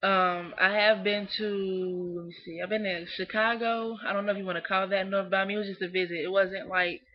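A young Southern woman rants close to her webcam in a small dim room, scolding and emphatic, pitched in a middle range. The audio comes through dull and lo-fi. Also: fairly even intonation, a little fast. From Southern US, Kentucky. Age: young adult. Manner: emphatic, scolding, repeats "you know what I'm saying". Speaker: vlogger. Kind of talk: opinionated webcam rant.

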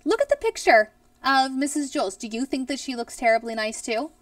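A woman in her thirties reading a children's story aloud expressively, in a deliberate storyteller's manner. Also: sits high, expressive, no room sound, distant mic. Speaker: teacher reading aloud to pupils. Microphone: headset boom mic.

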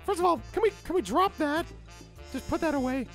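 A man's voice: extremely high pitch, expressive, brisk, a bit bright, soft background music. A man playing a flustered, exasperated teacher who stammers and interrupts himself, in an improvised comic cartoon style. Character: flustered, exasperated male teacher hunting for his costume. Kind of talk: improvised comic character acting.

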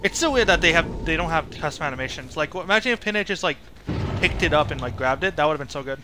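A man's voice: on the high side, expressive, fast talker. A man giving casual live commentary as he streams a game, muttering mildly frustrated asides to himself. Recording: clear game noise behind voice. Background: horror game sound effects and ambience.

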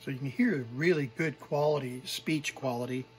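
A middle-aged man presenting from home, showing vintage gear in an unscripted, halting, informal and appreciative way. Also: mid-range voice. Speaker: vintage tape recorder collector and presenter. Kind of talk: unscripted hands-on demonstration.

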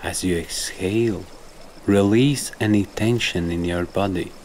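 A man with a deep voice guides a meditation from a script. He speaks very slowly and softly, in measured instructions with room between phrases. A faint trickle of stream water runs behind him. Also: slight background noise. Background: trickling stream and nature ambience.

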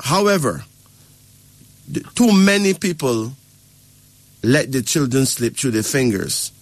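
A man holds forth like an adviser, explaining at length and pausing to ask if he is followed. His voice sits at an ordinary middle pitch. The sound is close and dry, with no room around it. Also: sing-song, no echo. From Barbados. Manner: lecturing, keeps checking with "right?" and "okay?". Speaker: spiritual advisor. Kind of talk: casual advice talk.

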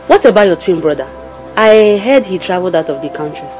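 A concerned, inquisitive friend acted by a woman reacts with exclamations and questions in a Nigerian accent. Her voice sits fairly low but swings animatedly. It is film drama, with light music behind. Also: expressive, a little fast, darkish tone, lightly acted. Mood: sympathetic curiosity. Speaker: actor.

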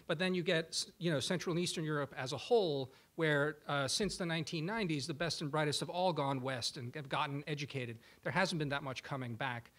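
A man from Croatia speaking as a panelist at a policy discussion in a conference room, thoughtful and halting, restarting his sentences. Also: fairly high pitch, a bit bright. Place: large conference room with audience.

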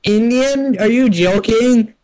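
A man's voice: very high-pitched, slow, warm tone, clean tone, rough recording. A man teasing his friends over voice chat during an online game, laughing often. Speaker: gamer in voice chat.

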